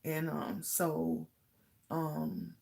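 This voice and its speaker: A middle-aged woman with a slightly raspy voice chatting casually to live viewers as host, halting and pausing as she goes. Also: deep voice, sing-song, a little mellow. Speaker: host of a livestream talk channel.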